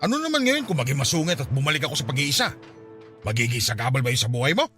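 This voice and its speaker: Gruff troubled loner, Filipino accent, extremely animated mid-pitched voice, insistent, radio drama. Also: male voice, rapid-fire. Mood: defensive. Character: gruff, troubled, guilt-laden solitary adult man. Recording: music behind the voice.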